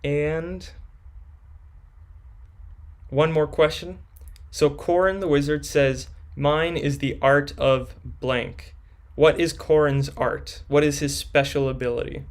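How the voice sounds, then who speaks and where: A man's voice: fairly high pitch, fairly even intonation, slow pace. A young man hosting a live stream, reading viewers' questions from chat and answering them casually.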